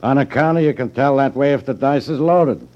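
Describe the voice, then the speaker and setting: A man's voice: mid-range voice, brisk, close to an everyday voice. An American actor playing a loyal, dim, tough henchman with slangy hoodlum talk in 1950s radio drama style. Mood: matter-of-fact. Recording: dull 1950s radio recording.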